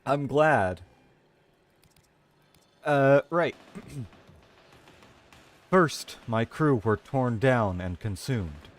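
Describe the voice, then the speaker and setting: A man streaming a video game, switching between casual chat and dramatic readings of game lore. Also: lowish voice.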